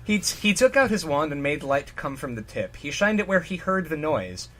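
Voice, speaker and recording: A man's voice: on the high side, very animated, normal speed, noisy background. A man doing an informal, joking dramatic reading of fan fiction, stumbling over sentences and ad-libbing asides. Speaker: reader on a comedy reading show.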